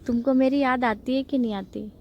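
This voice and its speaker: A woman's voice: sits high, steady tone, moderate pace. A woman playing an unhappy, coy married sister-in-law who flirts in a staged phone-call role-play, speaking casually in short replies. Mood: coy, flirtatious.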